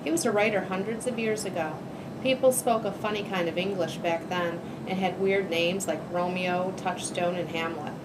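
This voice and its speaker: A woman's voice: steady tone, normal speed. A woman on a school's staff reading a children's story aloud. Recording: noisy with low rumble.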